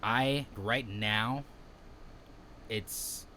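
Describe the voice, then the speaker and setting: A man's voice: on the low side, very slow, sharp tone. Man, 30s, podcast co-host. Loose, candid rambler. Faint noise floor. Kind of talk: casual chat.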